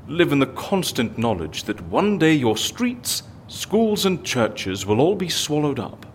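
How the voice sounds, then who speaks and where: A man's voice: a little high, very animated, measured pace, a little hiss or hum. A man playing a curious, eager and politely insistent visitor to Venice in a naturalistic audio drama. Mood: curious, chatty.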